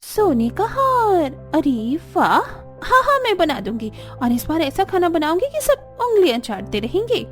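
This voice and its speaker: A woman voicing a spoiled, defiant young daughter-in-law in a cartoon story, whiny and quick to talk back. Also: very high voice, sing-song, soft background music, heavily acted. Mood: greedy delight. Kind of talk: Hindi cartoon story voice acting.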